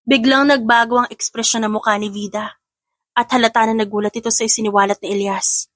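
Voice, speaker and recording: A woman's voice: fairly high pitch, fairly even intonation, brisk, a bit bright, no echo. A woman giving scripted narration of a romance story in Tagalog.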